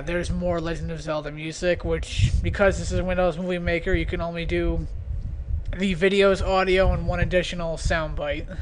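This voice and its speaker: A man giving casual, off-the-cuff video commentary in a hesitant, rambling, self-deprecating way. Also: fairly high pitch. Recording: noisy amateur home recording.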